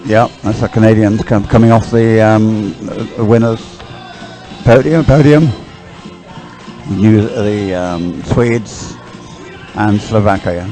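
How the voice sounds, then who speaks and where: A man's voice: fairly low pitch, slow, dark tone, faint music behind, low-quality recording. A man giving informal live race commentary, hesitant and often repeating himself as he thinks aloud.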